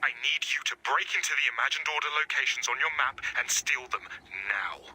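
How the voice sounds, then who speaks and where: An actor playing a grave, terse resistance leader giving mission orders in a video game, with a breathy undertone. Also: male voice, medium pitch, animated, fairly quick, pushed and bright, exaggerated voice, low-quality recording. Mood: urgent, grave.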